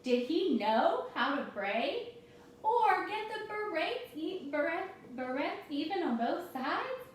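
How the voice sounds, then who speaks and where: Woman reading as a curious little storybook girl, high-pitched. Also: sing-song, slow pace, full character voice. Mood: curious, puzzled. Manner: questioning, earnest. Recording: very echoey room, distant mic, faint noise.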